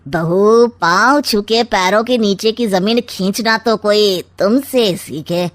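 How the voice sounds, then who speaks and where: An actor playing a sly, scheming middle-aged uncle in a cartoon story, with smug, taunting, theatrically drawn-out rhyming quips. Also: male voice, high voice, a bit bright, no room sound. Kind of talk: Hindi cartoon story acting.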